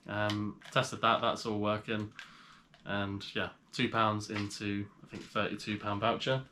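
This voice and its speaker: A man with a cold and a slightly nasal voice, chatting casually in a vlog as he shows items to the camera. He rambles in a relaxed way with frequent pauses. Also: lowish voice, a little hiss or hum. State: has a cold. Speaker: reseller vlogger.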